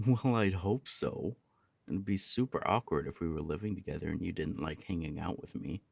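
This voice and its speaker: Man acting a low, creaky, affectionate punk roommate. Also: moderate pace, no echo, full character voice. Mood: fond. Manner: casual, teasing, chatty. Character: easygoing, affectionate young punk roommate with a crush. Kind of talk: intimate ASMR roleplay, one side of a conversation.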